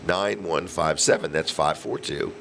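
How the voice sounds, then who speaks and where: A man hosting a live local radio show with a raspy voice, chatting in an easygoing, joking way. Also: rumbling low, unhurried, a bit bright, slight background noise. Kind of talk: casual live radio chat. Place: restaurant set for live broadcast.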